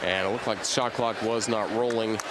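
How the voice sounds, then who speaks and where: A male radio announcer calling live basketball play-by-play in clipped, descriptive phrases that build with excitement. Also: on the low side, normal speed. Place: basketball arena broadcast position. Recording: loud arena noise behind the voice.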